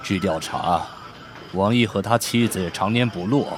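An actor plays a senior male detective briefing a junior in a radio drama. The voice is fairly low and plain, with a businesslike manner, over some music. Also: measured pace, lightly acted. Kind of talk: audio drama acting.

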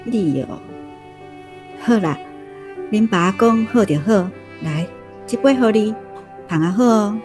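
An indulgent Taiwanese mother scolds gently in a radio drama. Her voice is lively and mid-pitched, with clear music playing underneath. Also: slow, long pauses. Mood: teasing, indulgent.

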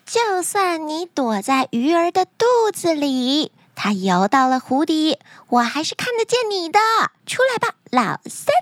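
A woman plays an arrogant fairy-tale princess with a quick temper. The voice is very high and sweeps up and down as she gives imperious orders. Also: big pitch swings, no echo, clean studio sound. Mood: haughty. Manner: haughty, imperious.

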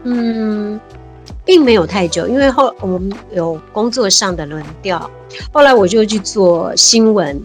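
A woman's voice: mid-range voice, a little slow, soft background music. A woman, a guest chatting casually and animatedly in an interview. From Taiwan. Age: 50s. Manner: animated, laughs easily, many fillers. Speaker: guest, civil servant.

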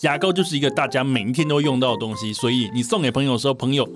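A man's voice: mid-range voice, racing. A man with a Taiwanese accent chatting about travel as a radio guest, explaining in detail like a tour guide. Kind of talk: lively casual radio travel talk. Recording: clear music bed behind the voice. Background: music.

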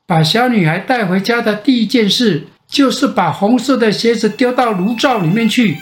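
An older man with a Taiwanese Mandarin accent tells a children's bedtime story in a gentle, expressive way with drawn-out phrase endings. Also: high voice, faint music behind. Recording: echoey room sound.

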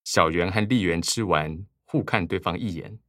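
Low-voiced male radio drama narrator. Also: normal speed, a bit bright, no echo, clean studio sound.

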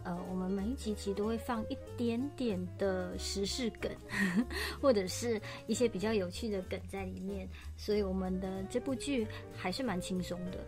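A woman's voice: mid-range voice, normal speed, hardly any pauses. Young woman co-hosting, giggly and chatty, mid voice, music going in the back. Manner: giggly, chatty, laughs often. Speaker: radio drama co-host and voice actor. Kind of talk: casual chat.

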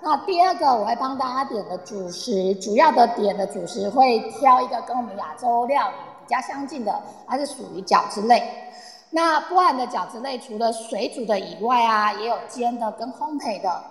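A woman's voice: fairly high pitch, animated, moderate pace. A woman playing a chatty, eager tour guide who explains patiently and coaxingly in a radio play. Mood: eager, explanatory. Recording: echoey reverberant room sound.

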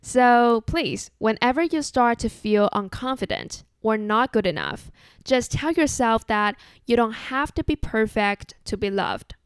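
A young woman with a Chinese accent hosting a solo podcast in an earnest, measured, relaxed way. English is not her first language. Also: fairly high pitch, clear, resonant tone, no echo, studio quality. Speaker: podcast host.

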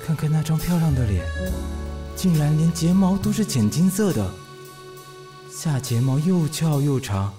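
A man's voice: fairly high pitch, animated, unhurried, warm tone, faint music behind. An actor playing a good-natured, easily smitten widowed single father in a radio drama, musing to himself expressively. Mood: smitten, admiring. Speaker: voice actor, lead. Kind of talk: radio drama acting with inner monologue.

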